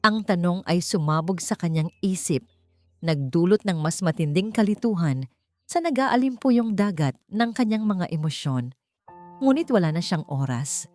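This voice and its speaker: Low-voiced Filipino woman narrating over music. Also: a little mellow, no room sound. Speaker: audiobook narrator. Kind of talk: dramatic scripted story narration. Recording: music bed under narration. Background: background music.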